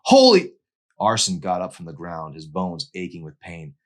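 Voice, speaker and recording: A man's voice: sits low, steady tone, moderate pace. A man reading a novel aloud in an intense, theatrical narrating style. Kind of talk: dramatic audiobook narration.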